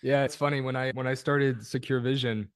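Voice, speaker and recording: A man's voice: medium pitch, fairly even intonation, a little fast, clean tone, no room sound, studio quality. A man hosting an interview podcast, upbeat and chatty, restarting his sentences often. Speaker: podcast host.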